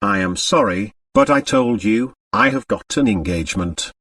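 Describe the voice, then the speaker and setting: A man's voice: lowish voice, animated, moderate pace, a bit bright, no echo, clean studio sound, recorded from a distance. A man playing a bitter, reckless man in an audiobook, with a brusque, mocking manner. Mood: curt, strained. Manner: sardonic, brusque. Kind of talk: audiobook character acting.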